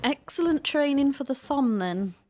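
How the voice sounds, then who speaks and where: A woman's voice: sits high, expressive, moderate pace, a little mellow. An actress playing a curious young woman visitor who asks eager questions in a community radio play. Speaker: listener character.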